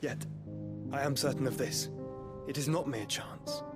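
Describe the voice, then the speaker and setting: A man's voice: mid-range voice, measured pace, lightly acted. An actor playing an earnest, learned young nobleman in a fantasy game, speaking solemnly in formal, archaic phrasing. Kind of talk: dramatic fantasy game cutscene acting. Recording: music behind the voice. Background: music.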